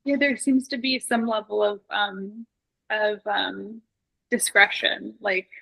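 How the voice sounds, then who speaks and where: A woman's voice: mid-range voice, steady tone, slow pace, gravelly, a bit bright, low-quality recording. A woman hosting a casual podcast chat, talking eagerly with lots of "like"s and restarts. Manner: eager, chatty, many likes and restarts. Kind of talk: casual conversation.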